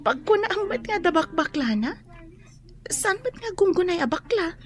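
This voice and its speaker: A high-voiced, upbeat student. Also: female voice, big pitch swings, moderate pace. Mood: frank, teasing. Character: kind, upbeat young female student friend. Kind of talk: Ilocano radio drama acting.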